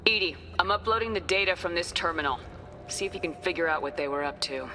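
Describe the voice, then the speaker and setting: An actress playing a resolute, grim military starship commander in a video game, with a clipped, decisive manner. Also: female voice, lowish voice, quick pace, sharp tone, a little hiss or hum. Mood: commanding.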